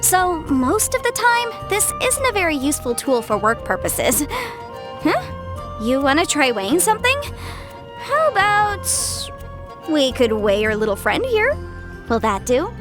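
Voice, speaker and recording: Video game casting: bright, bookish young legal adviser, high-pitched, very expressive swings, confident and chatty. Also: female voice, unhurried, music in the background. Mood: confident, explanatory. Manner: articulate, expressive, self-assured, slightly bookish. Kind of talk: scripted game character voice lines.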